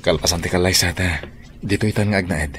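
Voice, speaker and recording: A man playing an earnest young lover, low voice. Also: a little fast, a bit bright, a little hiss or hum. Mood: tender. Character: young man defying his mother for love. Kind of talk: radio drama acting.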